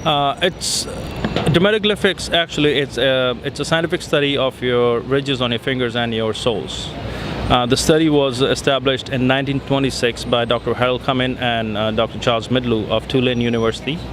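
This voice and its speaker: A man's voice: mid-range voice, steady tone, fairly quick. Indian man talking over street traffic. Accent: Indian, Punjab. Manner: hesitant, many fillers. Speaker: interview guest. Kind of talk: casual interview. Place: outdoors in a city square. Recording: street traffic noise behind. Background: city traffic.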